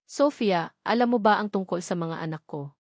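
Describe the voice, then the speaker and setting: A woman's voice: mid-range voice, moderate pace, no room sound, close to an everyday voice. Female narrator as wealthy young man, Filipino accent, resolute, demanding, serious, romance storybook, close dry recording. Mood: firm, accusing.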